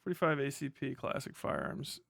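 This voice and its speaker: A man's voice: medium pitch, wildly swooping pitch, a little fast, gravelly, fairly bright tone, no room sound, clean studio sound. A man giving casual, profane livestream commentary in an exasperated, ranting manner. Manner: ranting, exasperated, heavy swearing. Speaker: livestream host reacting to videos.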